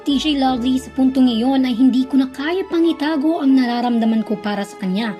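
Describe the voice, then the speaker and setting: A woman's voice: high-pitched, a little fast, mellow, soft background music, close to an everyday voice. A young woman with a Filipino accent playing a lovesick narrator confessing hidden love in a radio drama. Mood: pained, wistful.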